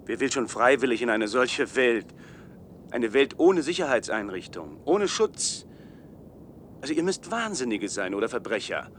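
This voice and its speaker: A man's voice: mid-range voice, very animated, moderate pace, slight background noise, lightly acted. An actor playing a naive, condescending city man in a German science-fiction radio play, with a lecturing manner. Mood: indignant. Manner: lecturing, self-assured.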